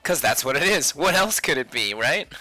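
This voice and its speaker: A man's voice: on the high side, big pitch swings, normal speed, pushed and bright. A man hosting a casual drawing livestream, chatting playfully with viewers and talking to himself as he works. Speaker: livestream host, sketch artist.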